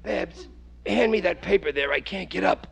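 A man's voice: high voice, moderate pace, gravelly, fairly bright tone, slight background noise, exaggerated voice. A man playing a bumbling, oblivious working-class husband and father in a folksy, blustery 1940s radio sitcom style. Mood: casual, oblivious. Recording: vintage radio broadcast recording.

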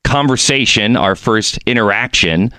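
This is a man hosting a podcast, with a deep, low voice. He keeps things chatty and jokey and drifts off on tangents. The sound is close and dry, recorded on a good studio mic. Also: measured pace, bright, forceful tone, no room sound. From Mexico. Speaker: podcast host. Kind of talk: casual interview chat.

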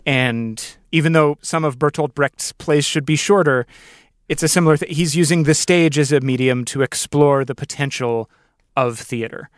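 A man's voice: on the high side, moderate pace, no room sound, clean studio sound. A man hosting a podcast, speaking conversationally in an earnest, appreciative way. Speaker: podcast host.

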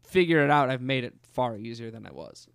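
A man's voice: medium pitch, sing-song, brisk, creaky, background noise. A man co-hosting a podcast in casual banter, joking and teasing as he talks. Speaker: podcast co-host and musician.

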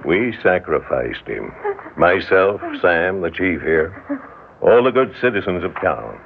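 Deep gravelly solemn old judge. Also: male voice, very animated, heavily acted. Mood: grave, resigned. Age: older. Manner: grave, formal, measured. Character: solemn older male judge, town elder, coldly ritualistic, regretful. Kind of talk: old-time radio drama acting. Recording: tinny, hissy old radio recording.

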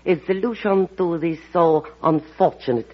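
Man acting a high-voiced, courteous ambassador. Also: normal speed, close to an everyday voice. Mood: courteous, deferential. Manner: polite, ceremonious. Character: courteous, formal Japanese ambassador, quietly triumphant. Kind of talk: old-time radio drama acting. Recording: dull, husky-sounding old radio recording.

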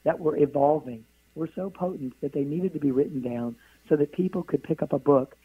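A hoarse woman, a guest author, speaking earnestly in a radio interview. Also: low-pitched, brisk, no room sound. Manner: earnest, flowing, spiritual. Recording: tinny phone line.

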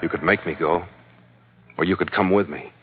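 A man's voice: deep voice, normal speed, close to an everyday voice. An actor playing a tough, self-contained adventurer in an old radio drama, telling his story in a terse, hard-boiled way. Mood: earnest, guarded. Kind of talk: old-time radio drama acting and first-person narration. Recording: dull vintage radio recording, loud music behind.

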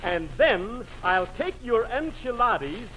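An actor playing a pompous, flustered, scolding restaurant boss in 1940s radio comedy. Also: male voice, extremely high pitch, sing-song, takes it slowly, bright, forceful tone, heavily acted. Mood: fussy, bossy. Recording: dull, noisy old radio broadcast.

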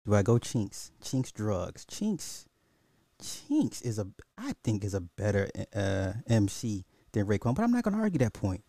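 A man's voice: on the low side, sing-song, unhurried, dark tone, dry recording, studio quality. A man hosting a casual, opinionated commentary podcast in slangy, informal speech, thinking aloud and often repeating his own phrases. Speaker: podcast host.

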